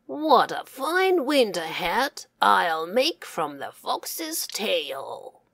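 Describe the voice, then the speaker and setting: A kindly old man from a children's folktale, read by a woman. He sounds confident and measured, speaking very slowly in a dry, close recording. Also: mid-range voice, very animated, creaky, fairly bright tone, no room sound, full character voice.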